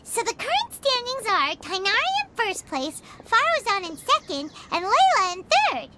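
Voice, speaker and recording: A woman voicing a tiny, cheerful, childlike fairy companion with a squeaky voice in a video game. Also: wildly swooping pitch, moderate pace, heavy background noise, full character voice. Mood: curious. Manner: refers to self by name, exclamatory. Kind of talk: animated video game voice acting.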